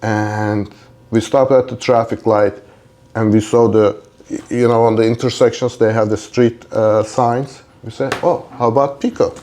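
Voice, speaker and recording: A middle-aged man from Turkey with a low, very soft-edged, mellow voice. He talks as a podcast guest in a polite, conversational way. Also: steady tone, unhurried. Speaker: interview guest, company co-founder.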